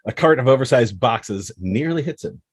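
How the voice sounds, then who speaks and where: A man's voice: mid-range voice, expressive, normal speed, husky, no echo. A man reading scripted screenplay action and scene headings as the narrator.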